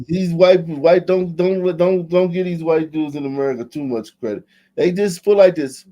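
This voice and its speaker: A man's voice: fairly high pitch, normal speed, dark tone, dull audio. A man giving blunt, opinionated commentary in a casual chat, often restarting his sentences.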